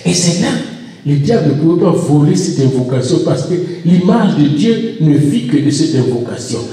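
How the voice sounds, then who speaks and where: Echoey, animated high-pitched male preacher. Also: normal speed. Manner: emphatic delivery with repeated exhortations and addresses to the congregation. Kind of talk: sermon. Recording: echoey room, distant microphone.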